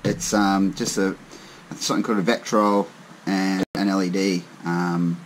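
A man's voice: sits low, little pitch movement, unhurried, a little hiss or hum. A man in a casual, laid-back hands-on demo, speaking informally and a bit hesitantly as a hobbyist maker. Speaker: hobbyist maker demonstrating homemade synths. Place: indoors at a wooden tabletop.

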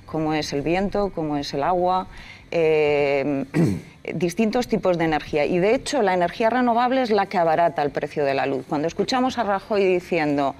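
Woman in her forties, slightly lower pitch, earnest explanatory tone, faint studio hiss, lapel mic. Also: normal speed, darkish tone. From Castilla-La Mancha, Spain. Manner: earnest, explanatory, many fillers. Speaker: environmental activist guest. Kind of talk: studio interview. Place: TV studio set, leather armchair.

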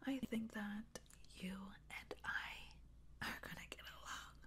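A woman whispering as a teasing vampire. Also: mid-range voice. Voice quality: soft whisper. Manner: slow, drawn-out, playful taunting. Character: teasing, hungry young female vampire. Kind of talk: ASMR role play. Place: small indoor room. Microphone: close camera, face framed near.

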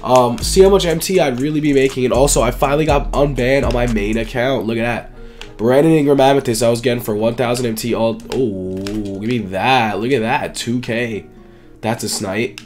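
A man in his twenties with a raspy, dry, flu-sick voice, giving casual, slangy gaming video commentary as a YouTube host. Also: medium pitch, moderate pace, a little mellow, faint music behind. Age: 20s. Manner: slangy, informal, repetitive emphasis. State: sick with flu, sore throat, coughing.

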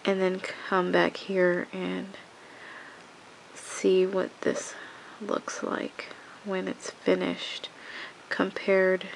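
A woman's voice: lowish voice, completely flat delivery, extremely slow, vocal fry, a little hiss or hum, rough recording. A woman with a cold talks through a hands-on craft tutorial at home, sniffling and rambling with many restarts. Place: home craft table, indoors.

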